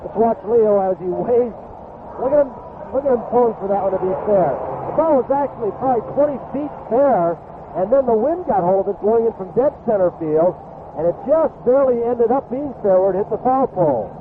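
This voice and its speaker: A man's voice: very high voice, lively intonation, fairly quick. An American man calling baseball play-by-play on the radio, giving counts and statistics in clipped phrases. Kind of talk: live radio sports commentary. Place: outdoor ballpark broadcast position. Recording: tinny, very noisy old radio broadcast.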